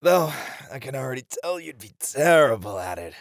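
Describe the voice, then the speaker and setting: Guy acting as flirty cocky ninja in audio rp, super expressive, bit of fry in the voice, playful teasing. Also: mid-range voice, moderate pace, background noise. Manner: teasing, breezy banter.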